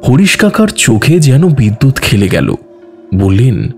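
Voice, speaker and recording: A man narrating a scripted audio story in a measured, expressive, dramatic way. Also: medium pitch. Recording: music behind the voice. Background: background music.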